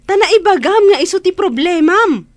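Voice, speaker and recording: Indignant younger sister: very high pitch, close dry sound, outspoken, insistent scolding. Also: female voice, animated, moderate pace, no echo. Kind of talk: Ilocano radio drama acting.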